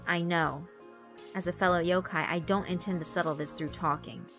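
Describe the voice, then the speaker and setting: A woman voicing a courteous, sly gentleman spirit with a polite, measured, softly menacing manner in a horror visual novel. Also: on the low side, fairly even intonation, music in the background, lightly acted. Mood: suave menace. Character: courteous, sly gentleman yokai who brews tea from souls.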